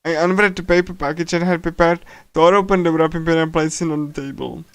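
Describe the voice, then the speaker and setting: A man livestreaming a game, casually commenting and reading aloud in a halting way, often repeating himself and stumbling. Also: on the high side, steady tone, a bit bright, clean tone, no room sound. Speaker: streamer. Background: game music.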